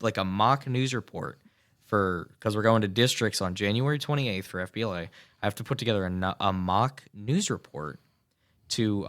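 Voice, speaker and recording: Chatty teenage boy, low voice. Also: a bit bright. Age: teens. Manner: rambling, many fillers and restarts. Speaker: student guest. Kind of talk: radio interview, earnest and chatty. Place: small radio studio booth.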